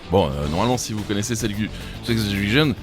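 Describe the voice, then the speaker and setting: A man's voice: lowish voice, animated, fast, soft background music. A man co-hosting a quiz podcast, playful and teasing, who laughs easily and fills his speech with small verbal tics. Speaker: quiz co-host. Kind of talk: casual quiz podcast banter.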